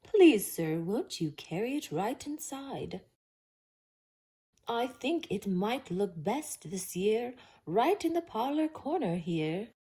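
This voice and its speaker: A woman voicing a grand, excitable wealthy gentleman in a children's storybook, with theatrical exclamations. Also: a little high, slow pace, slightly rough, full character voice. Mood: delighted, eager. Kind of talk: children's storybook read-aloud.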